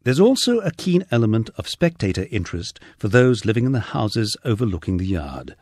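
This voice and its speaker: A man reads his memoir as an audiobook narrator, with a wry storyteller's touch. His voice sits fairly low and has a husky grain, and the pitch moves up and down in a very animated way. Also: a little fast, no room sound, studio quality. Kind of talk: scripted first-person memoir narration.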